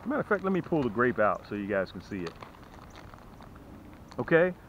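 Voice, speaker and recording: A man hosting a fishing channel, giving a casual, folksy sales pitch to camera and repeating phrases for emphasis. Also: big pitch swings, normal speed, muffled recording, a little hiss or hum. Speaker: fishing channel host and tackle seller.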